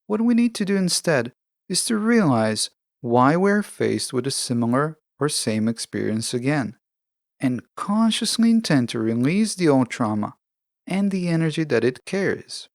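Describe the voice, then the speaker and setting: A man with a fairly high voice delivers a prepared self-help podcast monologue. His intonation moves up and down a great deal while he explains things in a deliberate, earnest manner. The sound is dry and close. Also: sing-song, no echo. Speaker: podcast host.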